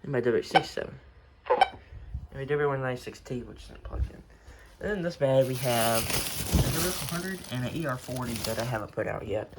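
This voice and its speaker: A man's voice: mid-range voice, expressive, brisk. A man giving a casual, unscripted show-and-tell in an informal, mumbly way, recorded at home. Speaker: hobbyist showing a weather radio collection. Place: room at home. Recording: noisy home recording with clicks.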